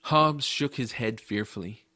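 Audiobook lead: sincere young hero, first-person storyteller, medium register, dry studio sound. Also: male voice, medium pitch, measured pace, bright, forceful tone, no room sound. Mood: narrating, disgusted. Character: earnest, kind-hearted adventurous boy narrating his story.

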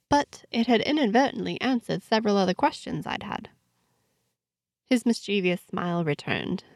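A woman narrates first-person fiction with lively, expressive delivery. Her pitch moves widely, with some gravelly creak at the edges, and the sound is very dry. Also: dry recording. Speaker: host and narrator of a fiction podcast.

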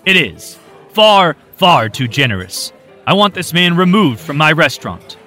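A man voicing a blustering, plump male restaurant owner who shouts indignantly. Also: a little high, big pitch swings, measured pace, soft background music, voice pushed up, heavily acted. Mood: angry.

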